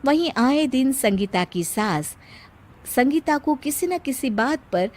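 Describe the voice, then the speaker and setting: A woman's voice: high-pitched, lively intonation, takes it slowly. A woman reading scripted narration for a cartoon moral story as a storyteller.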